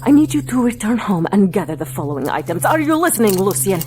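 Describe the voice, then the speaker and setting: A woman playing a commanding, mystical palm reader in an audio drama, coaxing with formal phrases and dramatic, hushed pauses. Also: mid-range voice, big pitch swings, lightly acted. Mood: ominous, urgent. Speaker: fortune teller. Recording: music under the voice.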